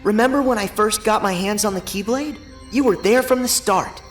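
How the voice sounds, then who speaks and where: A man's voice: sits high, moderate pace, soft background music, close to an everyday voice. An actor voicing an earnest, upbeat teenage boy hero in a video game cutscene. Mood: grateful.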